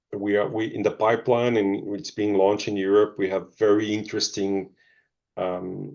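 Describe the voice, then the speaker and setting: A middle-aged man, answering interview questions thoughtfully and pausing often with ums and restarts. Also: low voice, vocal fry, a little mellow. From Zimbabwe, Portuguese family. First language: Portuguese. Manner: hesitant, many ums and restarts. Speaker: pharma executive guest. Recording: dull lo-fi audio.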